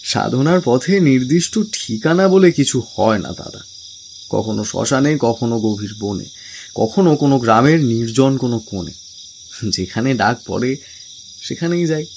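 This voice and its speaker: A man playing a calm, brooding wandering mystic who narrates a horror audio story in a deliberate, suspenseful way. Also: mid-range voice, gravelly, a little hiss or hum. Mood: serene. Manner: slow, hushed, deliberate suspenseful delivery. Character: calm, brooding wandering tantric mystic telling his tale. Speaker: first-person narrator. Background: music and chirping crickets.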